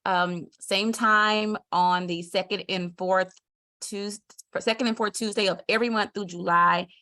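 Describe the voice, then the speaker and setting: A woman hosts an online business session. She makes slow, careful announcements and sometimes restarts a sentence. She speaks into a video call mic at a middling pitch. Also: fairly even intonation, pushed and bright. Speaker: business manager and session host. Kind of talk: introducing a webinar.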